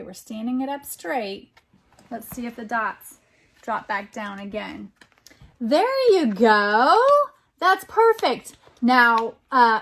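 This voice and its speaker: A woman's voice: sits high, a bit bright. A woman reading a picture book aloud to a young child as a teacher, with encouraging, exclamatory delivery. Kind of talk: interactive children's storytime read-aloud.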